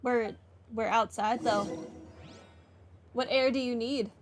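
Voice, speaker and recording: Wry, higher-voiced woman gaming. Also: steady tone, slow pace, a little hiss or hum. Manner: wry, thinks aloud. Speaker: gaming streamer. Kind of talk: casual playthrough commentary. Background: game music.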